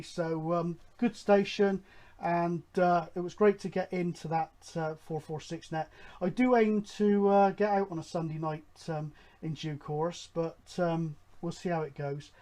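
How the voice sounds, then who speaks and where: A man presenting a hobby show, talking casually and in a halting, rambling, informal way to viewers. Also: sits high, a little mellow. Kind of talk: casual update and announcements to viewers.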